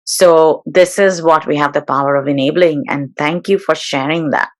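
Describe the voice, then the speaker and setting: Woman, webinar host, lowish voice, measured, slightly formal, fillers, video call. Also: fairly even intonation. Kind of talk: introducing and interviewing a guest.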